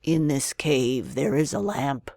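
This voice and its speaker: A woman's voice: rumbling low, expressive, warm tone, no echo, clean studio sound, voice pushed down, heavily acted. Woman acting commanding, scheming old man. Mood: stern, urgent. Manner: commanding, deliberate.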